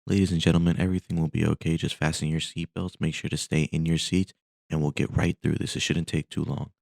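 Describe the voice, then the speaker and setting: A man playing a firm flight attendant in a story, instructing passengers and urging them to keep calm. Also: very deep voice, fast, vocal fry, no echo, clean studio sound. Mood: reassuring. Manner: soothing, instructing.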